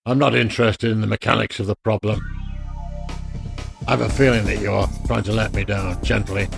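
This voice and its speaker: A man's voice: on the low side, little pitch movement, moderate pace, creaky, soft background music. A man acting a proud, impatient, ailing computer expert in a radio drama, speaking in a brusque, formal and indignant way with a cough. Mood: grave, blunt.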